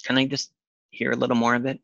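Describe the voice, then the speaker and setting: An actor playing a weary, troubled man who talks haltingly in naturalistic audio drama dialogue. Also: mid-range voice, completely flat delivery, gravelly, pushed and bright, no room sound, highs cut off. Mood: weary, hesitant. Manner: hesitant, halting. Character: weary, troubled man seeking comfort from a friend.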